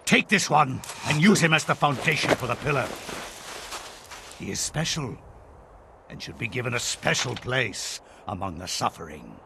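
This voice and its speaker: An actor playing a cruel, commanding inhuman warlord in a video game, gravelly, slow and menacing. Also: male voice, medium pitch, lively intonation, background noise. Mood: sinister, commanding. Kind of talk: dramatic video game villain acting.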